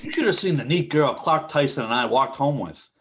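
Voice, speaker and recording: A man's voice: a little high, expressive, brisk, heavy background noise, close to an everyday voice. A man playing an enthusiastic teenage brother in an amateur sitcom script reading. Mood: excited.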